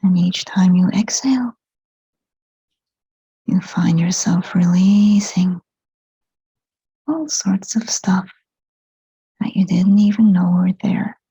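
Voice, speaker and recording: Woman, hypnotic meditation guide, very dark mellow tone, flat soothing delivery, whispery, muffled lo-fi recording. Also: on the low side, dry recording. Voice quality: whispery, breathy, soft. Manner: slow, gentle, short phrases with long pauses. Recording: dull, muffled lo-fi sound.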